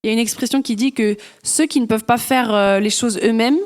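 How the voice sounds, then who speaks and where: Female live interpreter at a church service, relaxed delivery with filler hesitations. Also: fairly high pitch, fairly quick.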